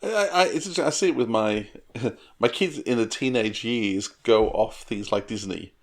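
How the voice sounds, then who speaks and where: A man in his forties co-hosting a relaxed podcast discussion, with a fairly low voice. He sounds thoughtful and earnest, now and then laughing lightly as he makes his points. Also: normal speed. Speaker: podcast co-host.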